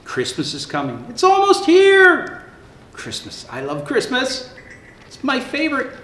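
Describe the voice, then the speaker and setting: A man acts out an excited zoo animal from a picture book. The voice leaps up and down with eager, gushing friendliness, in an echoey room. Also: extremely high pitch, measured pace, voice pushed up, full character voice. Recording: roomy echo, faint background noise.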